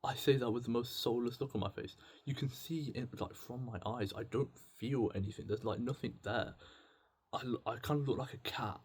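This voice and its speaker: A young man speaks in a slightly rough, husky voice at an average male pitch. He rambles casually and confessionally, as if keeping a video diary. His talk is self-deprecating, slangy and peppered with swearing. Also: moderate pace, a little mellow. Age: young adult. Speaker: solo video diarist.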